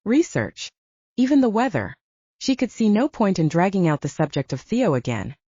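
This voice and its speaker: Lady reading a novel out loud, soft mellow tone, kinda muffled recording, no room sound. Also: fairly low pitch, dry recording. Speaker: audiobook narrator. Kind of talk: scripted fiction narration.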